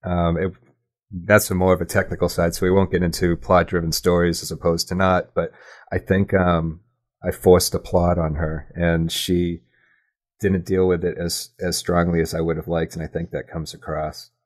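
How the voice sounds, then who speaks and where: Deep-voiced man, reflective and hesitant. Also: flat delivery, moderate pace. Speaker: podcast guest, audio drama writer. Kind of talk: casual reflective interview talk.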